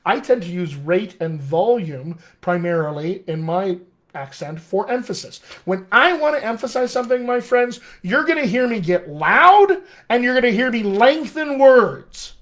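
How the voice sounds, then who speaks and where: A middle-aged American man, a dialect coach, teaching a live online class in an animated, friendly way, often calling his viewers "my friends.". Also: high-pitched, moderate pace. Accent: American English. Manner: animated, addresses viewers as "my friends", chuckles at own asides. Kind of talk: live-streamed teaching session.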